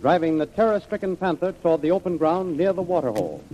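A man's voice: a little high, animated, fast, over music. A man narrating as a 1930s radio serial announcer, in a dramatic, declamatory manner. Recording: muffled, hissy vintage radio recording.

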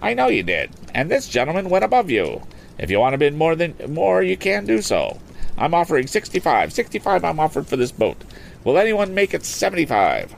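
A man voicing a middle-aged male auctioneer who talks in brisk sing-song sales patter. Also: fairly high pitch, wildly swooping pitch, gravelly, slight background noise, voice pushed up, heavily acted. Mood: bantering.

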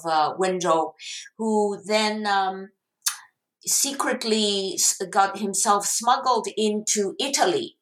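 A woman from Taiwan, a professor, answering interview questions slowly with many pauses and fillers. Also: medium pitch, echoey room, poor audio. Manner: slow, hesitant, many fillers. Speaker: guest professor.

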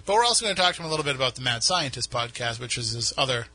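A man's voice: medium pitch, lively intonation, very fast, bright, forceful tone, slightly rough. A man with a New England accent hosting a late-night radio talk show, chatting loosely with many restarts. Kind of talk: casual on-air banter. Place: radio studio. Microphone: studio microphone with headphones.

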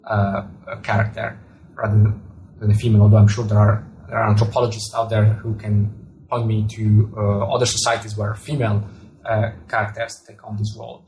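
A man's voice: on the low side, flat delivery, a little fast, darkish tone, slight background noise, poor audio. A man with an Italian accent gives thoughtful interview answers, often repeating words as he searches for the right phrasing. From Italy. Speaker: author and astronomer being interviewed.

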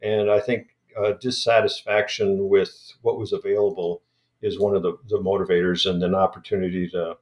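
A man's voice: on the low side, darkish tone, echoey room. A man, a history scholar, talking in an interview with many restarts and fillers. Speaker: historian guest. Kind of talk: academic interview.

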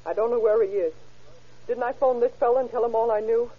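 A woman's voice: a little high, steady tone, fast talker, breathy edge. An actress playing a guarded, slangy woman under police questioning in an old radio crime drama. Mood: defensive. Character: tough, evasive young woman questioned by police. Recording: muffled lo-fi old radio recording.

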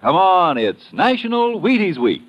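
A man delivering a folksy, emphatic sales pitch in an old radio commercial. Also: sing-song, a little slow. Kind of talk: 1950s radio advertisement. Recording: dull old radio recording.

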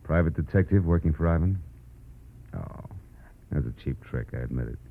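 Deep-voiced hard-boiled private eye. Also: male voice, expressive, moderate pace, vocal fry, heavily acted. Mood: firm, urgent. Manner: brusque, slangy, sardonic. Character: tough, wisecracking hard-boiled male private detective. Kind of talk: 1940s radio drama acting and narration. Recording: muffled old radio recording with music.

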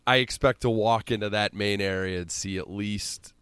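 A man's voice: fairly low pitch, fairly even intonation, moderate pace, a bit bright, clean tone, no room sound. A man with a central Illinois accent, hosting a casual sports podcast with exasperated, sweary rambling.

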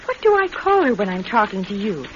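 An actress playing a young, eager secretary in a radio drama, polite, chatty and apologetic, halting when flustered. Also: female voice, medium pitch, very animated, close to an everyday voice. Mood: chatty, nervous. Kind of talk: vintage-style radio drama acting. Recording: muffled, noisy radio drama recording.

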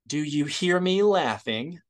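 Brash, wry woman deal-maker for an audiobook, breezy and shrewd, higher-voiced played by a male reader. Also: lively intonation, slow. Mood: cocky, wry. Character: brash, shrewd woman hustler striking a hard bargain.

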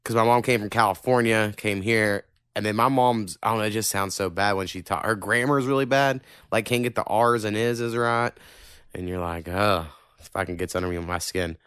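A man's voice: lowish voice, a little fast, a bit bright, smooth, no echo, studio quality. A man with a slight Oklahoma country accent hosting a podcast, rambling and swearing freely in casual chat. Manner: profane, rambling and opinionated. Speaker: podcast host.

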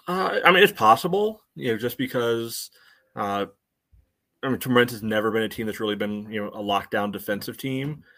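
A man with a fairly low voice offers casual sports analysis as a podcast co-host. His delivery is measured and halting, padded with frequent little hedges. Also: pushed and bright. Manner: hesitant, measured, frequent "you know" hedging.

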